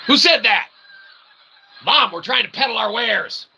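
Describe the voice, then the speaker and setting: A man hosting an online show, delivering a rambling, wordy, mock-formal comic monologue with animated bursts. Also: extremely high pitch, unhurried, slight background noise. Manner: mock-formal, wordy phrasing with frequent check-in tags. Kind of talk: rambling comedic monologue to a livestream chat.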